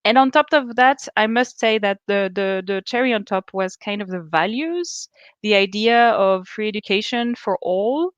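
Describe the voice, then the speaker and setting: A woman in her thirties, originally from Austria, talks as an interview guest on a video call. Her voice is smooth and resonant, and the sound is dry and close. She answers thoughtfully, with pauses and fillers. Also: mid-range voice, lively intonation, dry recording, highs cut off. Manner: thoughtful, many fillers and restarts. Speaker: student guest.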